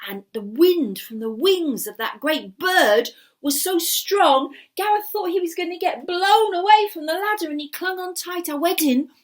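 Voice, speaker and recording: A woman telling a story in an animated, dramatic way, often dropping to a hushed breathy delivery. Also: extremely high pitch, moderate pace. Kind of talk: animated bilingual oral storytelling.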